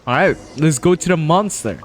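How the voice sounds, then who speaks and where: A male streamer giving casual live-stream commentary, slangy and joking, reacting loudly as he plays. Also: fairly high pitch, lively intonation, normal speed.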